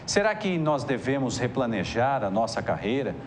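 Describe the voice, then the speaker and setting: A man's voice: medium pitch, very animated, normal speed. A man with a Brazilian accent hosting a TV interview in a chatty, effusive manner. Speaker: TV interviewer and host. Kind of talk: talk-show interview. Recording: clearly noisy TV interview sound.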